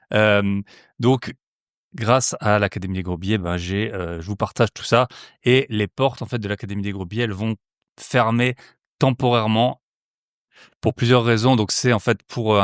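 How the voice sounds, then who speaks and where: A man with a lowish voice hosts a podcast, pausing often on filler sounds as he talks. The sound is close and dry, with no room around it. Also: smooth, dry recording. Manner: hesitant, many "euh" fillers. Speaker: podcast host. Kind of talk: podcast ad read and interview intro.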